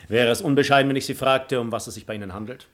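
Guy playing a cool proud nobleman seducer, radio drama, mid-pitched voice, formal and composed. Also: steady tone, racing, natural voice. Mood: courteous. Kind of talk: German radio play acting of classic drama.

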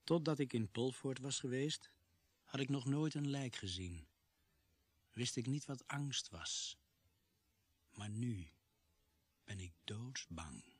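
An actor playing an earnest policeman who tells his story gravely and with measure in a radio drama. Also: medium pitch, slow, pauses often, close to an everyday voice. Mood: fearful, grave. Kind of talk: radio drama acting and narration.

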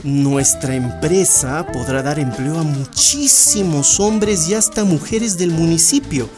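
A performer playing a scheming mining company manager in a radio drama, a wordy, flattering salesman. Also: deep voice, slow. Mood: oily, boastful. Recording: music under the voice.

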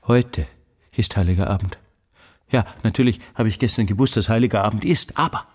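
A man's voice: on the low side, darkish tone, natural voice. An actor playing an obsessive, tyrannical man fanatically studying hearing in a radio monologue, with intense, emphatic delivery. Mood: matter-of-fact, insistent. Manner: intense, emphatic, drawn-out delivery of key words. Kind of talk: dramatic literary radio monologue.

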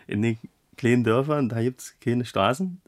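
An actor playing a nosy, gently teasing man in a German radio play, asking questions in a chatty, halting way. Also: medium pitch, close to an everyday voice. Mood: curious, teasing.

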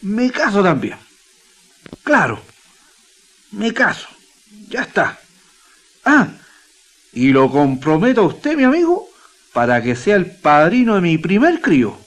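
Earnest young Chilean miner, lively and slow. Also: male voice, high-pitched, heavily acted. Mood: excited, glad. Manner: folksy, emotional colloquial speech. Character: earnest, sentimental young miner longing for home. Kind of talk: radio drama acting.

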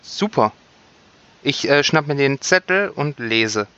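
A man co-hosting a casual podcast, cracking jokes in a deadpan way. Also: medium pitch, takes it slowly, muffled recording. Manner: deadpan, joking. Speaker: podcast co-host.

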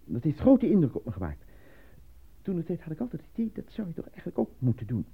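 A muffled, lo-fi radio play recording carries an eager and naive fellow with a husky voice. He stammers, gets flustered easily and leaps about in pitch with excitement. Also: fast talker, full character voice. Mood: hesitant, earnest. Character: eager, naive, easily flustered male actor cast as minister. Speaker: actor.

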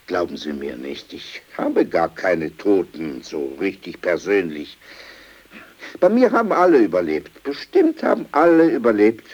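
Radio drama: old man, low and very animated, sardonic, weary, dismissive manner. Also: measured pace, breathy edge, lo-fi sound, full character voice. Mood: bitter, uneasy. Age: older. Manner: brusque, sardonic.

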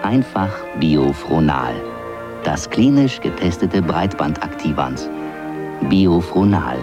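An actor playing a slick, reassuring announcer in a radio commercial for medicine, in a radio drama. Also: male voice, a bass voice, lively intonation, takes it slowly, music in the background, full character voice. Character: smooth male pharmaceutical advertising announcer. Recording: mid-1980s radio drama production.

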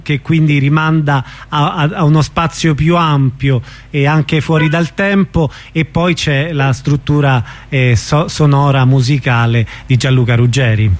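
A male radio host with a slightly high voice conducts an informal interview. He pauses and repeats words as he works out how to put each question.

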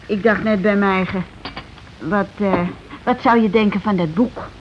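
An actress playing a simple, meek working-class wife in a radio play, hesitant and stammering, repeating herself in unpolished everyday speech. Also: female voice, medium pitch, moderate pace, natural voice. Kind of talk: naturalistic radio play acting. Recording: dull, noisy old radio recording.

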